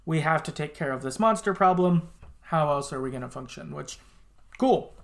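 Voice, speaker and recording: A man's voice: on the high side, lively intonation, moderate pace, creaky. A man hosting a podcast, chattily recapping a story in informal, sometimes sweary language with loose colloquial asides. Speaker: podcast host. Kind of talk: casual chatty retelling of a game's story.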